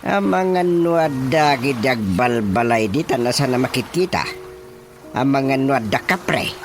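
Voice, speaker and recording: Slow-talking village watchman, music behind. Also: medium pitch, expressive. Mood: uneasy. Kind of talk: radio drama acting in Ilocano. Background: prominent background music.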